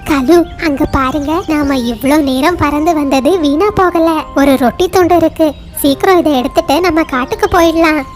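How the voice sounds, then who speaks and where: A woman's voice: high-pitched, normal speed, soft background music, lightly acted. A woman voicing a small, talkative female bird in a children's cartoon. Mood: eager.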